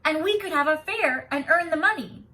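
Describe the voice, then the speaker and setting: A woman voicing an eager, insistent young schoolboy in a storybook, blurting things out excitedly. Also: high voice, moderate pace, distant mic, pitched above the performer's own voice.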